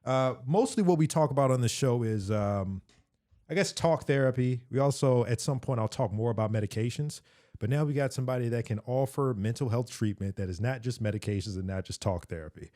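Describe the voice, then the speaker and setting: A man's voice: mid-range voice, fairly quick, mellow. A man hosting a podcast, chatty and upbeat as he welcomes and questions a guest. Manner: upbeat and friendly when introducing, asks questions loosely. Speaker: podcast host. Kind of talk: casual interview talk.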